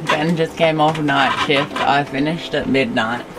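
A woman's voice: sits low, measured pace, loud music behind the voice, poor audio. An Australian woman in her thirties chatting casually to a handheld camera outdoors on a boat. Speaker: sailing vlogger. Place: outdoors on a sailboat cockpit at sea. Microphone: handheld action camera at arm's length.

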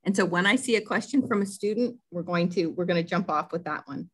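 A woman's voice: fairly low pitch, breathy edge. Polite woman moderating a call. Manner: polite, measured, with soft fillers. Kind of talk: reading audience questions. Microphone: video call.

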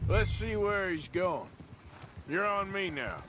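Seasoned American outlaw for Western game: folksy drawl, higher-set, swinging pitch, wry and cautious, music behind. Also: male voice, expressive, slow pace, noisy background. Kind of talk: video game dialogue in a Western. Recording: music under the dialogue, horse hooves. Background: loud music and horse hooves.

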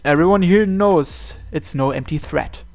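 A man's voice: a little high, a little slow. A man reading a visual novel aloud as dramatic scripted narration. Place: indoors, voice-over on game playthrough. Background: game music.

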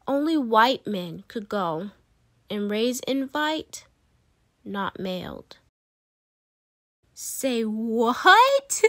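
Woman, children's read-aloud, slightly high pitch, dramatic emphasis, dry close sound. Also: a bit bright, no echo. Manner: dramatic, emphatic storytelling with playful asides. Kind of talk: expressive children's picture book read-aloud.

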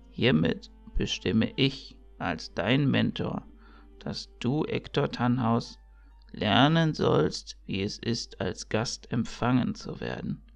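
A man playing a kindly old priest and mentor in an audio drama, speaking in a measured, ceremonial, gravelly way. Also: mid-range voice. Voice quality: creaky, gravelly. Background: music.

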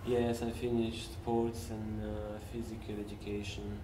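A man's voice: fairly low pitch, monotone, a little slow, mellow. Serbian man in a roomy space. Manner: hesitant, searches for words in non-native English. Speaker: interview guest, parkour coach. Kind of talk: casual interview conversation. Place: large empty café-like room, at a table indoors. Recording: roomy, distant mic, faint noise.

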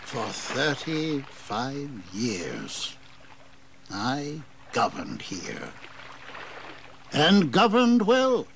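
An actor playing a proud, defiant old colonial governor in a historical audio drama, with formal, declamatory delivery. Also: male voice, medium pitch, wildly swooping pitch, extremely slow, creaky, pauses often, a bit bright, full character voice. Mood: resigned, proud. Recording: audible background noise and ambience.

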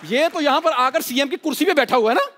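Cocky high-voiced customer. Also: male voice, fast, fairly bright tone. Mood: mocking. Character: cocky, haughty young male café customer. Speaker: sketch comedian. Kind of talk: broad stage sketch comedy. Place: large indoor TV studio stage with audience. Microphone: headset. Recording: noisy TV studio with audience.

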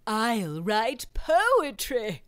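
A woman's voice: on the high side, huge pitch swings, extremely slow, fairly bright tone, clear, resonant tone, dry recording, clean studio sound, full character voice. A woman voicing an earnest, hungry male wolf poet in a storybook, drawing words out dramatically. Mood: dreamy, savouring.

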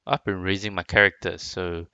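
A man giving casual, low-key, muttered gameplay commentary. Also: little pitch movement, a little slow, pushed and bright, clear, resonant tone, dry recording, lo-fi sound. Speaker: gamer. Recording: game music behind voice.

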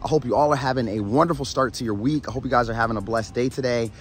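A man with a hoarse voice, sounding like he is losing it, tired but energetic as he gives a motivational talk to camera, addressing viewers as "family" and checking in with "all right?". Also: mid-range voice, rapid-fire, a little hiss or hum. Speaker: motivational YouTube host and coach. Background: background music.

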